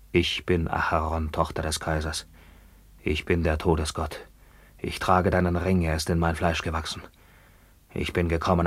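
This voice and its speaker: Radio play: grim returned captive, low voice, declamatory and vengeful, close dry recording. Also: male voice, dry recording. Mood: ominous, raging. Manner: declamatory, grim, swelling from low murmurs to fierce cries. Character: bitter maimed Roman returnee from captivity, commanding and vengeful. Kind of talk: theatrical German radio drama acting.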